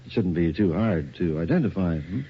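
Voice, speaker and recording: An actor playing a wary, evasive shop dealer in a radio drama, with a hesitant, guarded, colloquial manner. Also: male voice, sits low, animated, normal speed, slightly rough, full character voice. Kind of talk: 1980s radio drama acting. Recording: dull, lo-fi radio recording.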